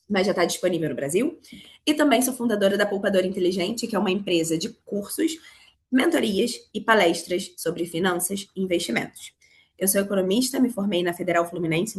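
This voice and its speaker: Woman, Carioca accent, hoarse from a cold, online teacher, explanatory, video call audio. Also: lowish voice. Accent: Carioca Brazilian Portuguese. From Rio de Janeiro, Brazil. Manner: explanatory, addresses students directly. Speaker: financial educator teaching an online class. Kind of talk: live online class.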